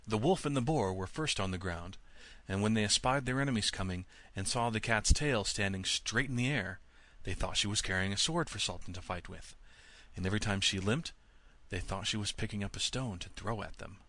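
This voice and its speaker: Low-pitched man narrating a fairy tale. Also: brisk, hardly any pauses, fairly bright tone, no room sound. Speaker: audiobook narrator.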